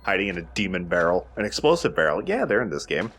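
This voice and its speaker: A man's voice: sits low, big pitch swings, quick pace, vocal fry, a bit bright, soft background music. A man giving casual, chatty commentary as he explains a video game. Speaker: let's play host.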